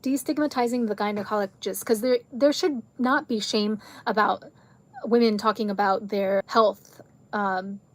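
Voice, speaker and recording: A woman chatting to camera in a rambling, tangent-filled way, full of fillers, her voice going hoarse. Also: mid-range voice. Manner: frequent fillers like "like" and "um". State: losing her voice. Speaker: video creator reviewing a film. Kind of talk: casual chat to camera.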